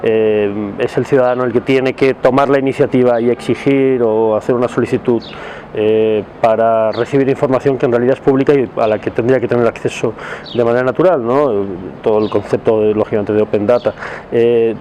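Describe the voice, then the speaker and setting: A man giving his opinion in a recorded message, speaking haltingly and deliberately as he searches for words. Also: mid-range voice, steady tone, mellow, slight background noise. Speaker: civil society commentator in a video message. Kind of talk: spoken opinion to camera.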